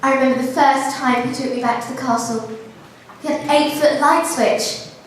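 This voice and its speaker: A woman's voice: high voice, fairly even intonation, normal speed, natural voice. A woman playing a genteel, chatty queen and doting mother in a live pantomime, theatrical and confiding. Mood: confiding, gossipy. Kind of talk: live amateur pantomime acting. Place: large school hall stage. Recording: distant echoey school hall, faint noise.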